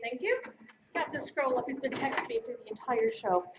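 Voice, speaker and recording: A woman's voice: sits high, fairly quick, background noise. A woman giving an informal, effusive acceptance speech at an awards event. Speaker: podcast host accepting an award.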